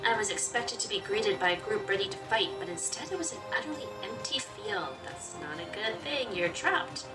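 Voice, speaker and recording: A woman's voice: mid-range voice, expressive, moderate pace, loud music behind the voice. A woman hosting a gaming video, reading visual novel narration aloud and adding casual, playful asides. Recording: slightly distant mic, faint noise.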